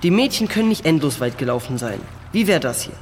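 A male actor playing a calm, hopeful boy adventurer in a children's audio drama. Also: a little high, quick pace. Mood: calm, encouraging. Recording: reverberant cave effect, faint water noise.

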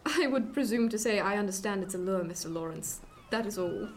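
A woman's voice: medium pitch, animated, brisk, a little hiss or hum, lightly acted. A woman playing a proud, guarded wealthy lady in a period radio drama, speaking formally and with some hauteur. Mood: composed, reserved.

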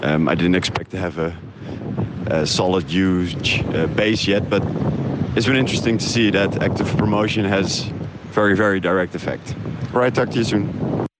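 A man's voice: sits low, moderate pace, noisy background. A man from the Netherlands, an independent audio creator, talking casually and hesitantly as he thinks aloud in a voice memo.

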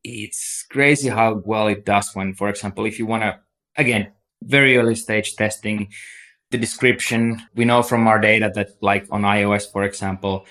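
A man with a Finnish accent and a somewhat low voice explains business topics as a guest in a podcast interview. He talks steadily and at length, leaning on "like" and "so" as he builds his points. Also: steady tone, normal speed, fairly bright tone. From Finland. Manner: explanatory, many fillers like "like" and "so". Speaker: agency CEO, guest.

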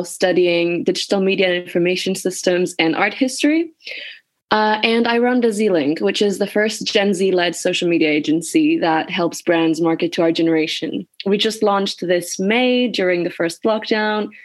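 A woman in her twenties from Greece, a guest in an interview, talking casually with many "like" and "um" fillers. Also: medium pitch. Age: 20s. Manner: chatty, lots of "like" and "um" fillers. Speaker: guest, agency founder.

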